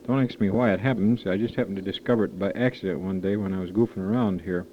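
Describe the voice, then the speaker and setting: A man making a halting, tentative, off-the-cuff demonstration into a tape recorder on a dull amateur recording. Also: low-pitched, steady tone, slight background noise. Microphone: microphone at varying distance.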